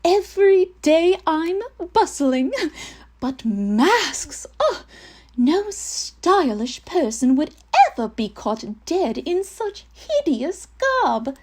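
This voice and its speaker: A woman playing a naive, prim young Victorian English gentlewoman in a mannered, comic theatrical monologue, speaking her letter with arch, genteel earnestness. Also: high voice, big pitch swings, takes it slowly, heavily acted. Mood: amused, haughty.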